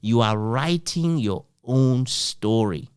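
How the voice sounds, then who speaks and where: A man's voice: mid-range voice, animated, slow pace, a little mellow, smooth, no echo, studio quality. A middle-aged man, interviewing conversationally, emphatic and repeating phrases as he checks the listener follows. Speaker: interviewer, author.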